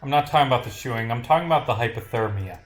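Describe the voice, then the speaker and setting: A man's voice: medium pitch, lively intonation, very fast, reverberant, faint music behind, lightly acted. A man voicing an insistent detective from a visual novel, questioning a suspect in a pressing, accusatory manner.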